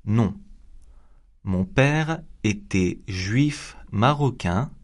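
A man acting as an adult interviewee in a scripted language-exam dialogue, reading each phrase slowly and clearly for learners. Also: on the low side, lively intonation. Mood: calm. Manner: slow, carefully enunciated reading for learners. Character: adult man interviewed about his childhood. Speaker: actor in a language exam recording.

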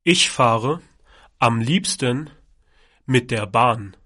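A young man from Germany reads his lines slowly and carefully for a language exam recording. His tone is bright and forceful, and every word is clearly enunciated at a deliberate pace. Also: mid-range voice, lively intonation. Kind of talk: slow scripted exam dialogue.

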